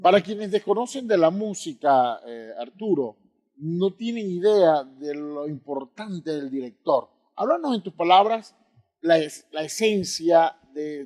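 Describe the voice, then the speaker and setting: A man's voice: sits high, a little mellow. A man co-hosting a program and putting questions to a guest in an interview. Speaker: program co-host.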